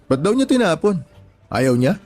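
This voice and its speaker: A man's voice: on the high side, sing-song, normal speed, a little mellow, soft background music. An actor playing a married father, a sympathetic friend chatting matter-of-factly in a radio drama. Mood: curious, sympathetic. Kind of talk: Tagalog radio drama dialogue.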